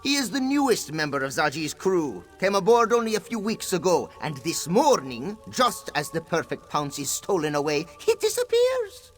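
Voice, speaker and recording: High-pitched roguish cat-man pirate captain. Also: wildly swooping pitch, normal speed, few pauses, faint music behind. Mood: earnest, determined. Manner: theatrical, speaks of himself in the third person. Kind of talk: video game dialogue.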